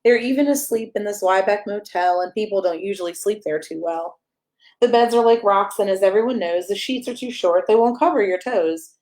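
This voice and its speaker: A woman's voice: medium pitch, fairly quick, darkish tone. A woman reading a rhyming children's picture book aloud as a storyteller, in a playful, sing-song way with emphasis on the rhymes.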